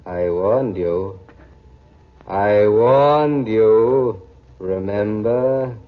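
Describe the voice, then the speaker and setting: An actor playing a vengeful dead man who taunts his rival in a deliberate manner, in 1940s radio style. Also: lowish voice. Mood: menacing. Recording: muffled, hissy old radio recording.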